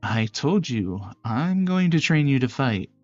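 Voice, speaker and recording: A man's voice: medium pitch, very animated, unhurried, no echo, dull audio, faint music behind. A man voicing a confident, bossy young female fight trainer in a visual novel, commanding and teasing. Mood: insistent.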